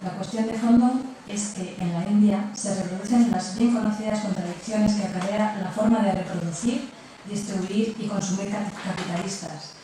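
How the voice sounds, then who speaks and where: A woman's voice: mid-range voice, fairly even intonation, moderate pace. A woman from hosting an event, giving a prepared, partly read introduction of a speaker. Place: lecture room with strong echo. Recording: very echoey room, distant mic, noisy.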